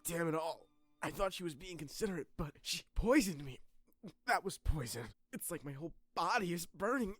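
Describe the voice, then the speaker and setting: A man plays a dramatic teenage anime boy with an excitable, self-deprecating streak. His voice is husky and very animated in pitch. His delivery is theatrical and exclamatory, with music behind him. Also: moderate pace. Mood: panicked outrage. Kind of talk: anime fan dub acting.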